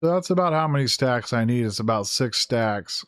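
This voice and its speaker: A man with a hoarse, strained voice talks through his gameplay in a loose, rambling way, correcting himself as he goes. His pitch sits in an ordinary middle range. The sound is dry and close, with no room around it. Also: animated, moderate pace, fairly bright tone, dry recording. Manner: rambling, thinking aloud with fillers and self-corrections. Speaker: gaming YouTuber. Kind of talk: casual Let's Play commentary.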